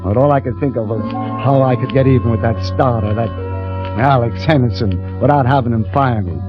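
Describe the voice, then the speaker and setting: An actor playing an eager, boastful young elevator operator who gets easily flustered, in 1940s radio style. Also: male voice, medium pitch, a little fast, natural voice. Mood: wounded, scheming. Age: young adult. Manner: earnest bragging, stammering when flustered. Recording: muffled old radio broadcast with music.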